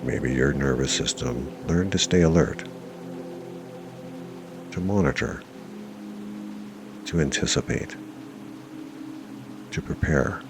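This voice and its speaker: Man, extremely low voice, slow pace, guided self-hypnosis narration, reassuring, long pauses, music behind. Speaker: meditation guide.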